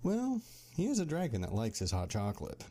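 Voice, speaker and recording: A man's voice: mid-range voice, wildly swooping pitch, brisk, warm tone, no echo. A man playing a kindly middle-aged stallion father in an amateur audio drama, folksy and affectionate. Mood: cheerful.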